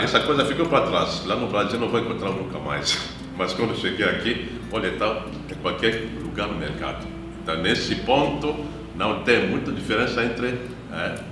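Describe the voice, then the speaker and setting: A man, a missionary priest giving a measured, reflective personal testimony in an interview. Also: deep voice. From Democratic Republic of the Congo. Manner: measured, reflective, unhurried storytelling. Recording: echoey room, distant mic, guitar music behind.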